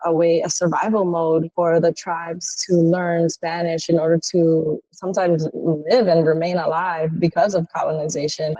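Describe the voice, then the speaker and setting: Mellow, low-voiced American woman, slightly dull audio. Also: no room sound. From New York, United States. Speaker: podcast co-host. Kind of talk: casual reflective conversation.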